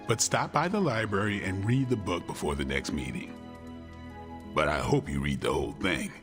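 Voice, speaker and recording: A man playing a gruff, guarded, bookish vampire-hunter warrior with dry wit, in video game cutscene dialogue. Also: deep voice, expressive, moderate pace. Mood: dry, assured. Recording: long reverb, music underneath.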